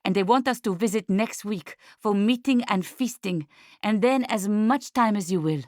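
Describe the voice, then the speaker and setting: A woman voicing a commanding, excitable female art agent in an audiobook, with emphatic, slightly stilted phrasing. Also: mid-range voice, normal speed, no echo, studio quality, voice pushed up, natural voice. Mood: brisk, exultant. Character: diplomatic, bossy, excitable French female art agent, exultant and insistent.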